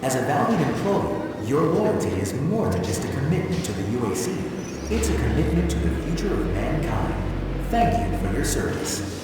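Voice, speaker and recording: A man's voice: medium pitch, big pitch swings, normal speed, natural voice. A man playing a cheerful, polished corporate announcer in a sci-fi game, reading scripted promotional messages in a salesy way. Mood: upbeat, salesy. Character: upbeat male corporate announcer for a sci-fi company. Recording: echoey hall, distant PA-like, noisy.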